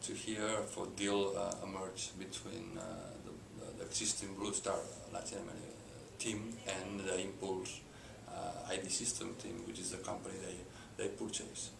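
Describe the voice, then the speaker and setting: A middle-aged Spanish-accented man with a very low, gravelly voice talks in a flat, even way, stopping often to search for words. He is recorded in an echoey room with a steady hiss behind him. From Spain. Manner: halting, many filler "uh"s and restarts. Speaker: company president, interview guest. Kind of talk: hesitant unscripted interview answers.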